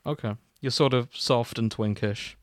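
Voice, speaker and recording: A man's voice: medium pitch, moderate pace, no room sound. A man hosting a podcast, switching between casual banter and comic read-aloud narration. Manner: breaks into chuckles while reading. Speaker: host and reader of a fan fiction podcast.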